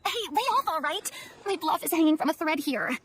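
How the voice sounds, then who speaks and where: A woman's voice: sits high, animated, a little fast, a bit bright, slight background noise. A woman voicing a proud, easily flustered young woman with dramatic, stammering bluster in a video game. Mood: defensive.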